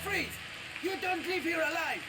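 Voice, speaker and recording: A man's voice: very high voice, moderate pace, loud music behind the voice. A man playing a hot-headed, aggressive rebel soldier in a video game cutscene, explosive and accusing. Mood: furious.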